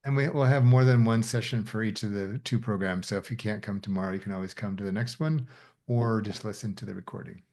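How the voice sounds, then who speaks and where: A man's voice: fairly low pitch, fast talker, a little mellow. A man hosting a video call Q&A, welcoming participants with short friendly affirmations. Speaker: host of the Q&A call.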